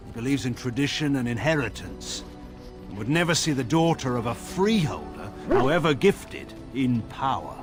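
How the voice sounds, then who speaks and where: An actor as a stern, weary general, swooping intonation. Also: male voice, mid-range voice, very animated, loud music behind the voice, close to an everyday voice. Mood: scornful. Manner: grave, measured, formal, dryly sardonic. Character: proud, weary, stern middle-aged general and father. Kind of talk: dramatic fantasy game dialogue.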